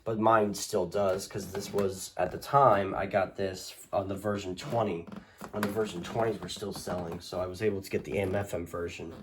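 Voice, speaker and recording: A man's voice: sits low, little pitch movement, moderate pace. Guy doing a casual show and tell, kinda rambly and unsure, keeps correcting himself, bit of background noise. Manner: hesitant, rambling, self-correcting. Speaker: host of a radio collection video. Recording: noisy home recording with clicks.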